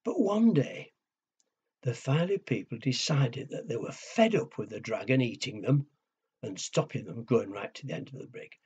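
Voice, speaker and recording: A man telling a story to young children, with a husky voice, hushed dramatic pauses and simple questions to his listeners. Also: medium pitch, lively intonation. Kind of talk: children's storytelling and nursery rhymes. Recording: dull lo-fi recording.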